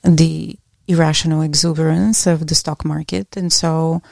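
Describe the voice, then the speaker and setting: A middle-aged woman from Bulgaria, an academic guest answering interview questions in a measured, reflective way with small restarts. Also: deep voice, little pitch movement, gravelly, darkish tone, dry recording, clean studio sound.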